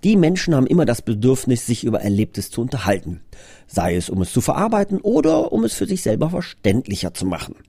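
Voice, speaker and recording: A man's voice: mid-range voice, huge pitch swings, fast, vocal fry, dark tone, husky, no echo, studio quality. A man presenting his own audio drama in a scripted but conversational trailer, explaining things earnestly.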